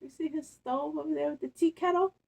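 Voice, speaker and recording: A woman reading a picture book aloud, expressive and playful, with chatty casual asides to children. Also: sits high, brisk, creaky. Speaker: storytime reader.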